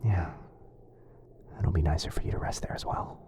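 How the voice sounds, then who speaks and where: A man softly whispering an ASMR boyfriend roleplay as a kind, caring wizard, in a soothing, hushed manner. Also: low voice, fairly even intonation, normal speed, slight background noise. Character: kind, caring, smitten young male wizard.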